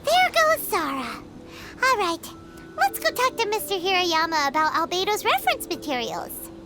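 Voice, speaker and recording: A tiny childlike girl sidekick from a video game. The voice is extremely high and squeaky, very lively and eager, over loud music. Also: normal speed. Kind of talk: scripted game dialogue acting.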